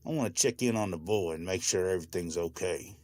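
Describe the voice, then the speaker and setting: Man playing a deep-voiced older farm patriarch. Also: a little fast, darkish tone, dry recording. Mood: directing, concerned. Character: hospitable, authoritative older male farm owner. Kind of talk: comic book voice acting.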